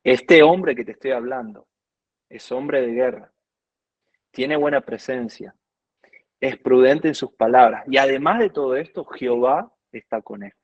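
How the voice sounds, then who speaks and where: A man's voice: mid-range voice, unhurried, dry recording. Earnest Argentine man, dull dry sound. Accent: Argentine Spanish. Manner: earnest, quotes scripture at length. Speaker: guest worship minister. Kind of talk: conversational teaching.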